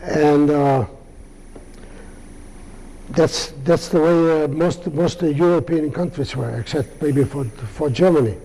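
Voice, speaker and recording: Older man, halting speech, mellow tone, fairly high voice. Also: poor audio. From Lithuania. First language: Yiddish. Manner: halting, many pauses and restarts. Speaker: Holocaust survivor speaker. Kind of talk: answering audience questions.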